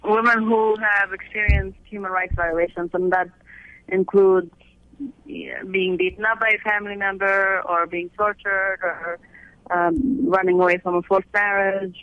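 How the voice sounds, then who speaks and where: A high-pitched man, born in Afghanistan and raised in the United States, answers radio interview questions about his aid work. He speaks earnestly in a flat, even tone and often restarts his sentences. The sound is dull and lo-fi, with a dry, close feel. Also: measured pace, dry recording. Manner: earnest, explains step by step with frequent restarts. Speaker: leader of a women's aid organization. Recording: dull lo-fi audio.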